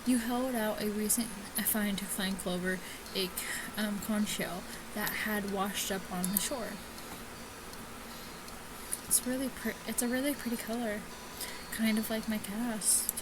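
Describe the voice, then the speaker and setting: A woman's voice: medium pitch, measured pace, long pauses, noisy background. A woman reading a visual novel aloud casually, stumbling over the text and adding offhand comments. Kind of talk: casual let's-play read-aloud with commentary.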